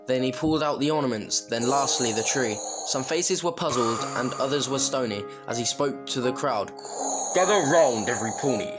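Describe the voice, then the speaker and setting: Storyteller reading rhymes over loud music. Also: medium pitch, moderate pace, hardly any pauses. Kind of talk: rhyming storybook narration. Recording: music behind the voice.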